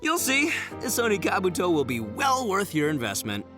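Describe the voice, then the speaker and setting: An actor playing a brash, boastful but good-natured young gang boss in a video game, cocky and informal. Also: male voice, sits high, huge pitch swings, normal speed, music in the background. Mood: cocky, excited.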